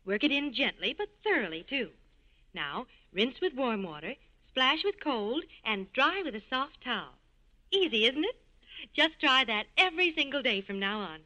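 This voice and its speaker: A bossy, friendly young office worker in a 1940s radio commercial. Her voice is high, bright and very animated, with chirpy, brisk advice. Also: full character voice, poor audio. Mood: instructive. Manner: chirpy, advising.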